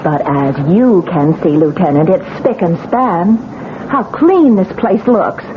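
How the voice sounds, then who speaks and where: A distraught young wife, pleading, slow-spoken, lively pitch, tinny old radio sound. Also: female voice, background noise. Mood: insistent. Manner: pleading, insistent, near tears. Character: shaken young wife doubting her own mind. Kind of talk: 1970s radio drama acting.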